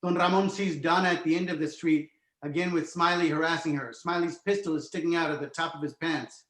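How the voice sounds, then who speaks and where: A man's voice: a little high, fairly even intonation, fairly quick, fairly bright tone. A man reading stage directions as the narrator of a screenplay table read. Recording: roomy, echoing home recording.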